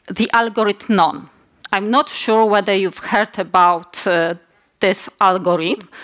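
Slow, halting woman with a Polish accent. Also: mid-range voice, fairly bright tone. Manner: measured, with frequent hesitations and self-corrections. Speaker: conference speaker. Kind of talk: technical conference talk. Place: large conference hall stage.

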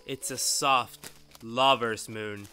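An actor playing a playful, adoring professor husband in old-time radio drama style, florid and teasing. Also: male voice, medium pitch, fairly even intonation, slow pace, bright, forceful tone, clear, resonant tone. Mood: romantic.

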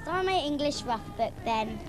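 A woman's voice: high-pitched, lively intonation, moderate pace, over music. A young girl actor playing an eager fan who keeps pushing a band member to sign something, in a 1990s children's TV drama. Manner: eager, persistent. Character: pushy young girl fan wanting an autograph.

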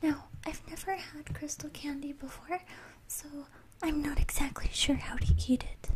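Girl doing a timid little cartoon pony, high shy whisper right on the mic. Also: moderate pace, close to an everyday voice, low-quality recording. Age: young adult. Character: timid gentle female pony, shy and soft-spoken. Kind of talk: whispered ASMR role play. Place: small room at home. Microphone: studio mic close to the mouth.

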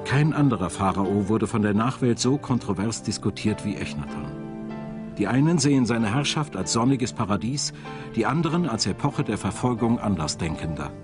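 A man narrates a documentary from a script. His voice sits fairly low. Music plays clearly behind his words. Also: animated, moderate pace. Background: music behind the voice-over.

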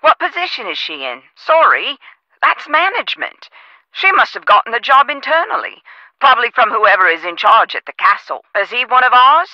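A woman's voice: fairly high pitch, very animated, moderate pace, dry recording, dull audio. An actress playing a chatty, officious female office manager in a video game, heard over a telephone. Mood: matter-of-fact. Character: chatty, prim female office manager on the phone, policy-proud.

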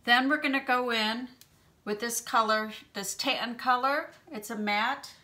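Bright-voiced woman doing a makeup tutorial. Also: fairly high pitch, unhurried. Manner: gentle, chatty step-by-step explaining with fillers. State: allergies, clearing throat. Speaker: beauty channel host. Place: small home room with shelves, indoors.

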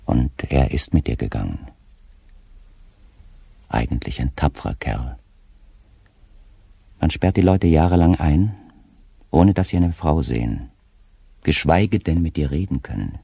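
An actor playing a tormented, guilt-ridden official slipping into madness, reading his diary in a brooding, deliberate way in an audio drama. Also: very deep voice, expressive, creaky, warm tone, husky, no echo, heavily acted. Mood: guilty, reflective.

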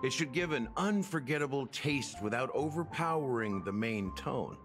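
An actor playing a laid-back middle-aged bartender in a game, speaking in a measured, casual, faintly theatrical way. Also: male voice, medium pitch, lively intonation, natural voice. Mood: easygoing. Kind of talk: English game voice acting. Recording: clean game dialogue over background music.

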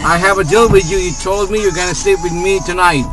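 A woman's voice: fairly low pitch, fairly even intonation, quick pace, over loud music, poor audio. A middle-aged woman chatting with her child in the back seat of a moving car, coaxing him with teasing questions. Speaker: parent. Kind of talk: casual family chat. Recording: loud road noise in a car.

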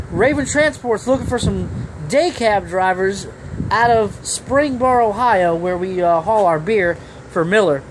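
Rambling trucker vlogging outdoors. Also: male voice, high voice, background noise. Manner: rambling, filler-heavy, keeps saying okay. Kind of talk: casual vlog promo. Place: outdoors under a building awning. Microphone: phone camera at arm's length.

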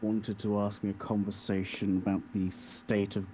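A man's voice: on the low side, flat delivery, moderate pace, dark tone, slight background noise. A young man co-hosting a casual podcast about games, with a dry, mock-indignant manner. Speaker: podcast co-host.

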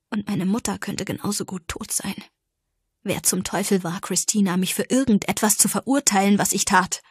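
A woman narrates an audiobook in the first person. Her reading is expressive and scripted, with a slightly husky tone and a middling pitch. The sound is very dry and close. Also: dry recording.

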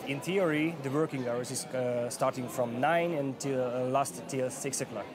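Hungarian accent guy in his 30s, halting with lots of uhs, music underneath. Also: mid-range voice. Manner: hesitant, filler-heavy non-native phrasing. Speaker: parliamentary assistant. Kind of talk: interview answers. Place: large office building. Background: background music.

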